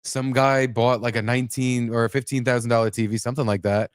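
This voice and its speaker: A man's voice: medium pitch, steady tone, fast, clean tone, no room sound, studio quality. A man hosting a podcast, chatting casually and comedically, telling profane stories with acted-out exclamations. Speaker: podcast host.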